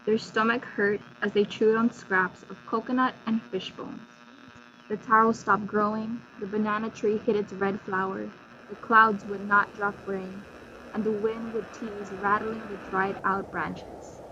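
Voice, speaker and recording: A woman's voice: mid-range voice, flat delivery, measured pace, pushed and bright. A woman telling a scripted folktale as narrator with a dramatic storytelling delivery. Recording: muffled lo-fi, faint hum.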